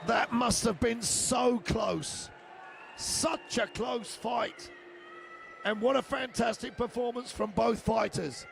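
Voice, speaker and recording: An older man giving live fight commentary, excitable and hoarse as he loses his voice, shouting the big moments. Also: very high voice, slow, background noise. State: losing his voice. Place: arena.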